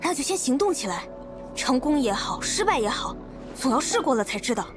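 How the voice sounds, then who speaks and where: An actress playing a confident, decisive leader in an anime game, with a brisk, commanding manner. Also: female voice, sits high, sing-song, over music. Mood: resolute. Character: confident, decisive female leader rallying her people. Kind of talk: scripted anime game voice acting.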